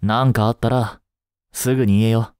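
A man playing a caring, easygoing high school teacher in a voice drama, speaking conversationally with gentle concern. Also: fairly even intonation, moderate pace, smooth, no room sound, studio quality, lightly acted. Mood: gentle, encouraging. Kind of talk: scripted Japanese voice drama acting.

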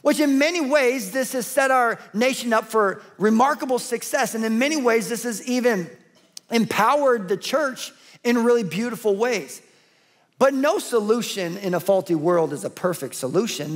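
Emphatic high-voiced male pastor preaching. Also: lively intonation, normal speed. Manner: emphatic, building repetition. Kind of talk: sermon. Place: large church stage.

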